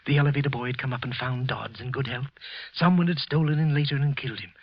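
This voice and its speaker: An actor playing a guilty, nervous office worker in a vintage radio thriller, speaking in a deliberate, intense, brooding manner. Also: male voice, medium pitch, husky, no echo. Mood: shaken, nervous. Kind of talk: old-time radio thriller acting, first-person narration. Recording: muffled vintage radio recording with music.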